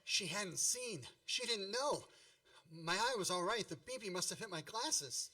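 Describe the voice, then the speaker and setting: A man acting as a nostalgic, grandiloquent storyteller in a radio play. His voice is fairly high and bright, wry in delivery, and a little distant from the microphone. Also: expressive, a little slow, heavily acted. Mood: dramatic. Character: grown man wryly, nostalgically recalling his boyhood Christmas. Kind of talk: scripted radio play narration.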